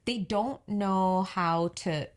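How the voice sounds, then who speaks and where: A woman with a deep voice, co-hosting a podcast and telling a story in casual chat while acting out the quoted dialogue. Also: slow. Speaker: podcast co-host, business owner.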